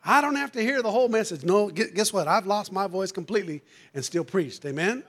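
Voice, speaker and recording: A preacher man with a notably high voice delivers a fervent church sermon. His voice is going hoarse, and he peppers his lines with "amen" and "hallelujah" while calling out to the congregation. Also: lively intonation, a little fast, fairly bright tone. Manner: calls out to the congregation. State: losing his voice. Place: church sanctuary.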